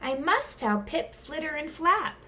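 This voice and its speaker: A woman voicing an earnest, excitable young female bat in a children's story, squeaky and wide-eyed. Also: measured pace, a little hiss or hum, exaggerated voice. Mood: delighted.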